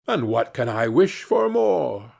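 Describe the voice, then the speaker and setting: A man's voice: medium pitch, very animated, takes it slowly, vocal fry, darkish tone, slightly rough, exaggerated voice. A naive young peasant man from a fairy tale, acted by a male narrator, earnest and simple. The voice swoops up and down in exclamations and takes its time over the words. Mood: pleased, hopeful.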